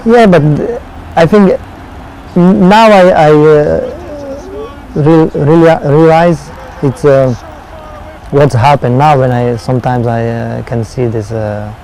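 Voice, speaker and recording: A Slovak-accented man speaks slowly in halting English, searching for words. His voice is gravelly and dark, with faint room noise behind. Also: a little high, fairly even intonation, poor audio. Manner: halting, many fillers and restarts. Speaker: former footballer. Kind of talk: interview.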